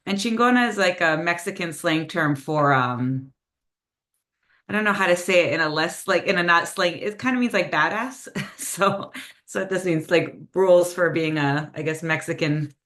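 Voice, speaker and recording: Lowish-voiced woman reading her poetry. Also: fairly bright tone. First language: Spanish. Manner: chatty and hesitant between poems, full of "um" and "like"; slower, measured delivery with Spanish phrases when reading poems. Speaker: poet reading her own work. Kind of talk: poetry reading with casual spoken introductions. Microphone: video call.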